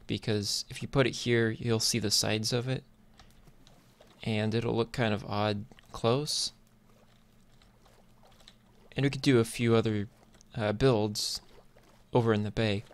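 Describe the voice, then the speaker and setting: A man giving casual gameplay commentary in a laid-back, hesitant way, thinking aloud as he goes. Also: medium pitch. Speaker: gaming YouTuber. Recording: noisy mic, clicks and typing.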